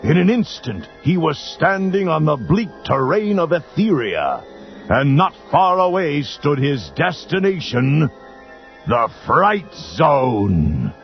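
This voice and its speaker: A man's voice: on the high side, big pitch swings, slow pace, creaky, muffled recording. A man giving dramatic scripted storybook narration. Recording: band-limited old readalong tape with music.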